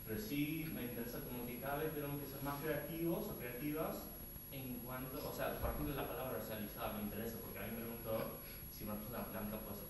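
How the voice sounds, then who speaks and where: A hesitant man whose first language is not Spanish asks the panel a question, halting and restarting as he searches for words. He is picked up from far off in a big, echoing hall, with a steady noise behind him. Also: medium pitch. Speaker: audience member asking a question. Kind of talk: casual spoken question at a panel. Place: large echoey hall. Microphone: distant room mic.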